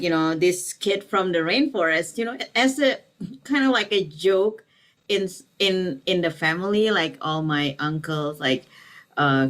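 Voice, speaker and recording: Rambling woman from Indonesia, low voice. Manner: rambling, many fillers and restarts. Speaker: panel speaker. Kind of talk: casual conference talk.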